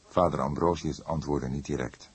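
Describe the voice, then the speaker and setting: A man reading a detective novel as an audiobook narrator, in measured, dramatic storytelling. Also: a bass voice, fairly even intonation, breathy edge.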